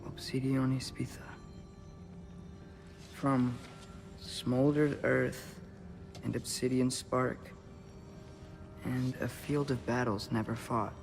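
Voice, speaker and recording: A young, curious hero in a cinematic game. His medium-pitched voice moves slowly, earnest and a little guarded as he questions things. Music sits clearly behind the dialogue. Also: little pitch movement. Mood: focused. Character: curious, wary young male apprentice hero. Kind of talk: cinematic video game acting. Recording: music under the voice.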